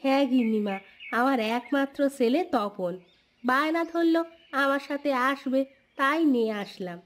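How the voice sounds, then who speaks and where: A woman's voice: high voice, normal speed, voice pushed up, close to an everyday voice. A woman voicing a humble village maidservant in a cartoon, speaking respectfully and eager to please. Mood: deferential, earnest. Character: humble, deferential village maidservant, devoted mother. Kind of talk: Bengali cartoon voice acting.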